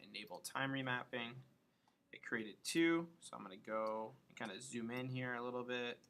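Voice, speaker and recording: A man with a gravelly, bright voice at a medium pitch talks through a software tutorial. He is informal and thinks aloud, muttering to himself between steps. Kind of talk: casual screen-recorded tutorial, thinking aloud while working.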